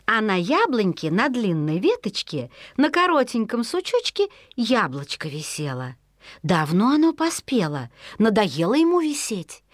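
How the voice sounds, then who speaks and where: A woman narrating a children's folk tale expressively, in a singsong folksy way with drawn-out repeated words. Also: mid-range voice, big pitch swings, no echo, studio quality. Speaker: storyteller.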